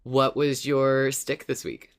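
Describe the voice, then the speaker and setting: A podcast co-host talks in a casual, thoughtful way. The phrasing is halting, as ideas are worked out mid-sentence. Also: medium pitch, steady tone.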